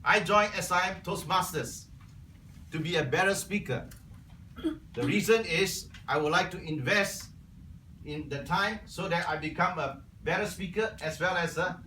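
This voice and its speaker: A man whose first language is Cantonese gives an earnest prepared speech to his club as its incoming membership officer, often clearing his throat. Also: a little high, pushed and bright, slight background noise. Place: club meeting room. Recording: echoey room, from far off.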